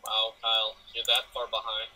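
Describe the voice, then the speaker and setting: A man's voice: lowish voice, completely flat delivery, moderate pace, pushed and bright. A young man joining a strategy game session, teasing his friend and rambling casually. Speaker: gaming friend. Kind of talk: casual gaming chat. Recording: distant mic with clear noise.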